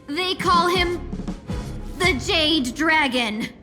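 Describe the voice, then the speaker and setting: A woman playing a sly, courteous fox lady and smooth-talking negotiator in a video game, measured and theatrical. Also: extremely high pitch, animated, over music, exaggerated voice. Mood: grandiose.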